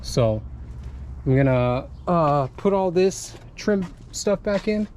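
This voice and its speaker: A man's voice: a little high, a little hiss or hum. Easygoing man filming a truck install. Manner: relaxed and chatty, many "uh" pauses. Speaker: vlogger installing a CB radio in his truck. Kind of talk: casual how-to vlog narration.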